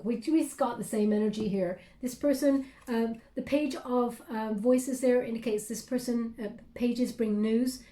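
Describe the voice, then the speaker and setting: A woman's voice: on the high side, warm tone, roomy sound. Scratchy-voiced woman reading tarot cards. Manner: chatty, reassuring, tag questions like okay. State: scratchy voice from illness. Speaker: tarot reader. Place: indoors at a table.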